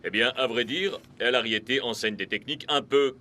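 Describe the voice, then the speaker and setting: A man playing a firm, composed football coach in a cartoon dub, with a measured, instructive delivery. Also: mid-range voice, pushed and bright, slight background noise, natural voice. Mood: firm, encouraging. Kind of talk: animated cartoon dub acting.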